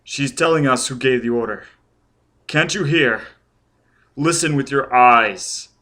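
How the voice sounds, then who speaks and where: A man voicing an agonized, uncanny burning apparition with grating, halting speech in an audiobook story. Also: medium pitch, sharp tone. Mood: urgent, tormented.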